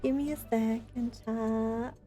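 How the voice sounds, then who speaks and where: A woman with a soft, mellow voice talks casually on a game livestream. Her manner is playful and teasing, and music plays faintly behind her. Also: on the high side, fairly even intonation, slow. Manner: playful teasing banter, gasps at discoveries. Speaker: game streamer. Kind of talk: casual livestream gaming chat.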